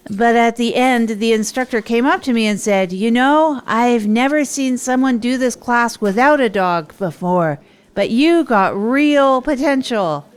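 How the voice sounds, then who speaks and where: A woman's voice: a little high, takes it slowly. A woman playing a hapless amateur comedian, telling stories in a rambling, earnest, self-deprecating way for an audio drama. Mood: eager, nervous performing. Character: Tog, hapless adult storyteller and failed stand-up comedian. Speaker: narrator and radio host. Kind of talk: improvised comic monologue in an audio drama.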